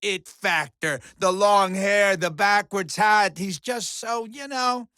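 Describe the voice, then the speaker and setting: Bombastic, high-pitched alien arena showman. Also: slow, sharp tone, smooth, dry recording, studio quality, heavily acted. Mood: smug gushing. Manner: theatrical, bombastic, alliterative showmanship with sarcastic asides. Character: flamboyant, ratings-obsessed alien showman and bloodsport arena announcer. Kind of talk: video game villain voice acting as sports announcer.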